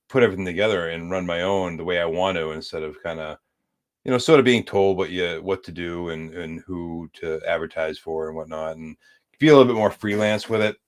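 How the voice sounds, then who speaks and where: A man from the United States chatting as a podcast guest, easygoing and rambling as he tells stories. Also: low-pitched. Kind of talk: casual chat.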